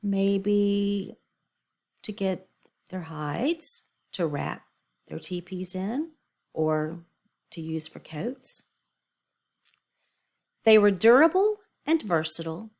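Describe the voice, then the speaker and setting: A woman with a mid-pitched voice and very animated intonation, speaking as a teacher to children. She moves between reading aloud and explaining in her own words, in an instructive, think-aloud manner. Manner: explanatory, asks the listener questions and thinks aloud. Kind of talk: read-aloud lesson for children with explanatory asides.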